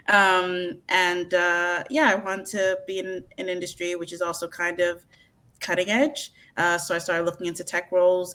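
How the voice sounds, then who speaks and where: A woman's voice: lowish voice, fairly bright tone. A young woman introducing herself as a graduate trainee, hesitant, with many fillers and self-corrections. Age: young adult.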